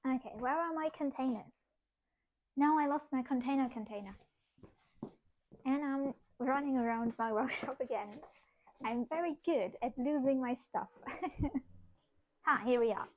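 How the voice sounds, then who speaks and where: Brief: young woman, German accent, slightly high voice, loose chatty livestream talk, reads chat while she works, giggles a lot. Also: measured pace, long pauses, a little mellow, muffled recording, slight background noise. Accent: German, with some British English. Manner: chatty, laughs often, talks to herself while working. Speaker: streamer. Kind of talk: casual craft livestream chat, reading chat aloud.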